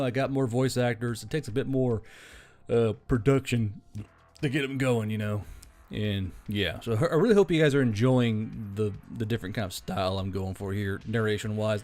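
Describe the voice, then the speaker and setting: A man's voice: mid-range voice, darkish tone. A man speaking as a channel host in a casual, rambling, earnest address to his listeners. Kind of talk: casual unscripted sign-off to listeners.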